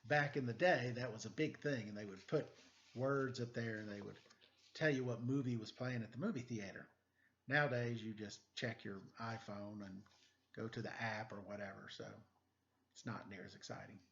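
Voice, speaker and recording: A man's voice: lowish voice, fairly even intonation, moderate pace, fairly bright tone. A man reading a children's story aloud expressively, with casual explanatory asides for young listeners. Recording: dull lo-fi sound.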